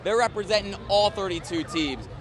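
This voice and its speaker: A man's voice: high-pitched, fairly quick, background noise. A man working as a sports anchor and reporter, with a playful, emphatic broadcast delivery. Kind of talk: sports broadcast narration and desk banter.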